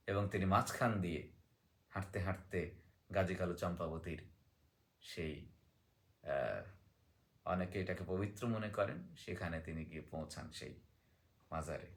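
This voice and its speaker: A man telling folk tales in a conversational way, pausing often with fillers and small check-in questions. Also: on the low side.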